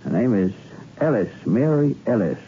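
A man's voice: medium pitch, big pitch swings, moderate pace, mellow. An actor playing a wry, obliging newspaperman in a 1960s radio thriller, talking in an offhand, musing way. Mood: wry, helpful. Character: wry, obliging newspaperman digging through files. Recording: muffled phone-like hissy old radio recording.

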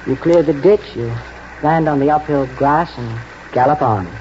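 A man playing an earnest, protective young horse trainer in a 1940s radio drama, urgent and halting as he instructs. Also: on the high side, a little mellow, close to an everyday voice. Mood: coaching, insistent. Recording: muffled, noisy old 1940s radio broadcast.

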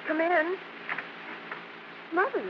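A woman's voice: very high voice, drawn-out words, music in the background, heavily acted. An actress playing an anxious teenage schoolgirl ashamed of her parents in an old radio drama. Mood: distressed, pleading. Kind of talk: 1940s-50s radio drama acting. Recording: dull, lightly hissy vintage radio recording.

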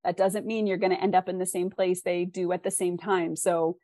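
Candid, encouraging woman, slightly low, dry sound. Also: little pitch movement, a little fast, dark tone, dry recording. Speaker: interview guest, author and freelance writer. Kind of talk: conversational interview, giving advice.

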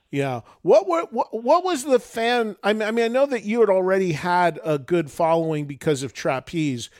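A male podcast host asks eager, probing questions. His voice sits high for a man. The sound is dry and close, with no room around it. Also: a little mellow, dry recording. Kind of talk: interview questions.

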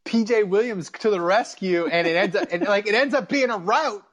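A man hosting a sports fan show, talking casually and a little haltingly as he circles back and repeats himself. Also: high voice, a bit bright.

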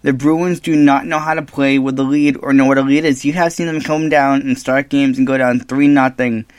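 Fast, blunt male pundit, mid-pitched, dry close-mic sound. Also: steady tone, no echo. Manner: quick, blunt critical. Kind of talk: casual sports chat.

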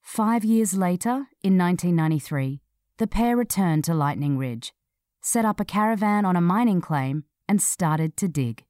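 A woman narrating a scripted feature article in a measured, clearly read delivery. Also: lowish voice, animated, mellow, no echo, studio quality.

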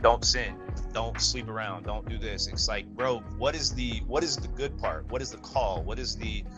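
A man giving his opinions in a casual, slangy podcast conversation, animated and emphatic, often saying "bro". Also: lowish voice, normal speed, over music. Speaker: podcast guest.

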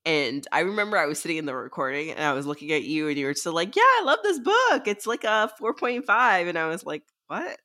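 A woman's voice: on the low side, sing-song, a little fast, hardly any pauses, bright, forceful tone. A woman co-hosting a podcast in a casual chat about books. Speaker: podcast co-host.

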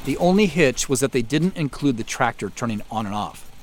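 A man's voice: mid-range voice, lively intonation, fairly quick, slight background noise. An American man giving conversational, explanatory commentary as a show creator and sound designer. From Spokane Valley, Washington, United States. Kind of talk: director's commentary narration.

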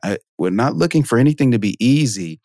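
A man guesting on a podcast, casually telling stories about his career, laughing often and jumping up in pitch for quoted reactions. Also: brisk, no echo, clean studio sound. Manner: lively storyteller, jumps high for quoted reactions, laughs often. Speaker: podcast guest, marketing automation expert. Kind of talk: casual interview storytelling.

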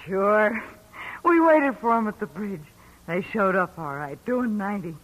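A woman's voice: mid-range voice, lively intonation, a little slow, poor audio. A scared but brassy gangster's ex-girlfriend in an old radio drama. She talks with raw emotion and a bitter, jealous edge. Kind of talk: 1940s radio acting.

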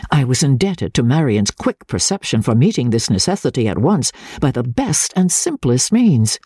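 Female narrator for literary audiobook: deep, mellow, husky tone, deliberate formal phrasing, dramatic delivery. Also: sing-song, no room sound, clean studio sound. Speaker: audiobook narrator and radio reader. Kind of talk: dramatic scripted reading of classic literature.

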